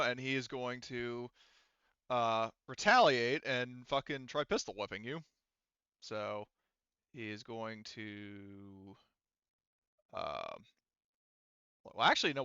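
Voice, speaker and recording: A man's voice: medium pitch, bright, forceful tone, clear, resonant tone, dry recording, muffled recording. A man acting as game master, narrating a tabletop roleplaying game in an informal, casual way. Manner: informal, occasional swearing.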